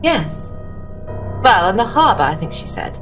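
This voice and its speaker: A woman playing a genteel, anxious, soft-hearted lady in a tabletop horror game, with a polite, hesitant, fretful way of talking. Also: mid-range voice, big pitch swings, unhurried, over music, low-quality recording. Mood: uneasy.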